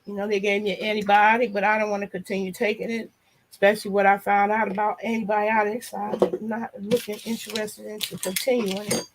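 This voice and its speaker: A hoarse, nasal woman vlogger chatting casually and frankly to her viewers in a loose, rambling way. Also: mid-range voice, little pitch movement, a little fast, slight background noise, poor audio. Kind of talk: casual chat to a live audience.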